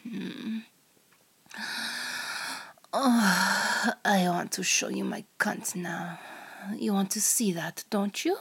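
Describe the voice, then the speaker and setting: A woman acts out a domineering Russian female spy in an adult audio roleplay. The voice is husky and breathy, with a crackle of fry, often dropping to a whisper. The Russian-accented delivery is slow, teasing and full of threat. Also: mid-range voice, steady tone, a bit bright, full character voice. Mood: seductive, teasing. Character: seductive, menacing Russian female spy interrogating a captive.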